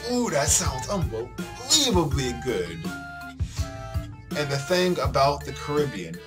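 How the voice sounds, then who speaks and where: A man voicing an excitable, boastful teenage schoolboy in a visual novel, talking in a gushing, grandiose way. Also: mid-range voice, slow pace. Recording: music behind the voice.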